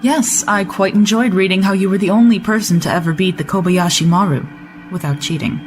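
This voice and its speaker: An actress playing a proud, combative starship commander in an audio drama, sarcastic and blunt. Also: female voice, mid-range voice, a little fast, over music, natural voice. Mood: needling.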